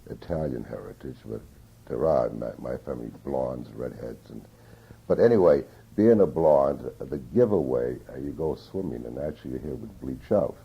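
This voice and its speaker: An older man recalling his childhood in an oral history interview, speaking slowly and reflectively with many hesitations, on an old tape recording. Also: very deep voice, animated, creaky, breathy edge. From Newton, Massachusetts. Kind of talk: reminiscing in an interview. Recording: dull lo-fi 1981 tape.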